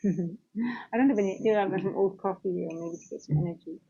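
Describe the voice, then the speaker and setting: A woman's voice: mid-range voice, brisk, mellow, slightly rough, dull audio. A tired woman from the Netherlands with a Dutch accent, chatting casually through a live trading stream and answering viewers.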